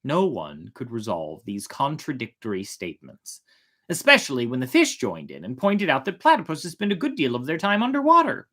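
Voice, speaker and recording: A storyteller narrating a folk tale in a theatrical way, with drawn-out, emphatic words. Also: mid-range voice, huge pitch swings, fairly bright tone. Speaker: storyteller, host of a storytime show.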